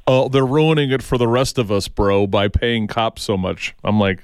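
A man's voice: medium pitch, steady tone, normal speed, smooth, no echo, clean studio sound. A man hosting a talk radio show, speaking casually and bluntly, with emphatic opinions.

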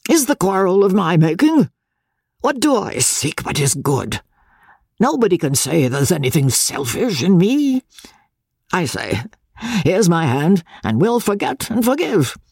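A man puts on a wealthy old merchant with a coarse, bullying bluster, his pitch leaping high and low. The voice carries a gravelly, husky edge, set in a dry, close audiobook sound. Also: no room sound, full character voice.

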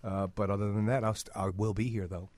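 A man's voice: fairly low pitch, steady tone, darkish tone, dry recording. A man from Chicago co-hosting a casual talk show, joking and teasing the others. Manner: joking, teasing, quick banter. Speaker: co-host.

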